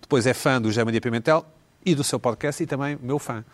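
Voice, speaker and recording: A quick-talking man reads listener messages on a comedy panel show. He keeps a brisk, playful rhythm and drops teasing asides between the lines. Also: mid-range voice. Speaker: host reading listener emails. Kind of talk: casual comedy panel chat.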